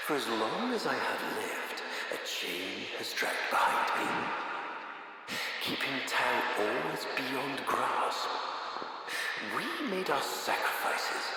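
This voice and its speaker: An actor playing a grandiose, bitter, scheming tyrant in a video game, theatrical and pompous, often dropping toward whispers. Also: male voice, medium pitch, heavy background noise. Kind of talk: scripted video game voice acting. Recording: long hall-like reverb.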